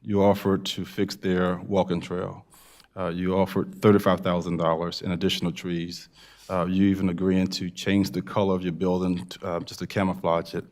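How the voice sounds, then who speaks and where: An American man with a very low voice speaks as a commissioner at a public meeting. His delivery stays flat in pitch and halting, and he repeats phrases to drive them home. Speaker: town board commissioner. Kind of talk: public remarks at a town meeting.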